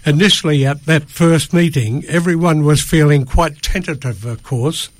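A man's voice: on the high side, normal speed, no echo. An older man giving a prepared statement as a radio studio guest, formal and careful in his wording. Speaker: choir member, interview guest. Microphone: studio microphone.

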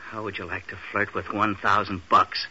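Shady assistant, very sharp husky voice, urgent, pushy, 1940s radio drama, muffled recording. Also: male voice, on the low side, little pitch movement, moderate pace, heavily acted. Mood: urgent, furtive. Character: shady, pushy, desperate male bookkeeper's assistant.

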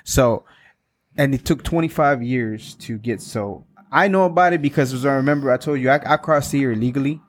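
A man from Mexico hosts a podcast in a mid-pitched voice. He talks in a casual, back-and-forth way, asking questions and often checking in with "you know what I mean". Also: brisk. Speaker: podcast host. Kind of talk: casual interview chat.

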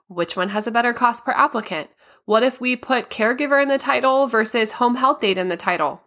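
Woman podcast host, slightly hoarse, raspy edge, crisp bright tone, practical step-by-step delivery. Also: mid-range voice. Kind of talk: solo podcast monologue.

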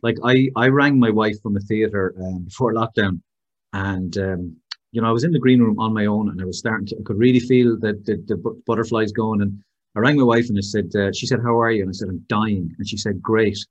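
Husky Irish man from Waterford. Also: on the low side, a little fast, muffled recording. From Ireland, Waterford. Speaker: podcast host. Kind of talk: podcast interview.

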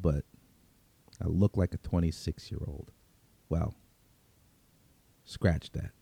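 A man's voice: low-pitched, gravelly, warm tone, no echo. A man reading a first-person story as a world-weary, streetwise vigilante vampire, in a conversational, brooding Brooklyn accent. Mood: matter-of-fact. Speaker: story reader.

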